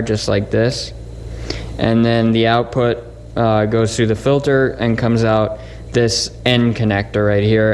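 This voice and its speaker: A man hosting a DIY electronics video, explaining his project casually and informally, as if thinking aloud. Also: on the low side. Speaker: host of a DIY electronics channel. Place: indoor electronics workbench. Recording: faint steady background noise.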